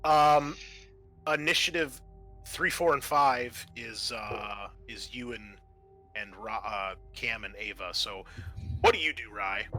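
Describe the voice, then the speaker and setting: A man with a bright, forward voice runs a tabletop roleplaying game. He narrates and explains rules in a casual, sweary way, with music playing underneath. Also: medium pitch, slow. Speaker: game master.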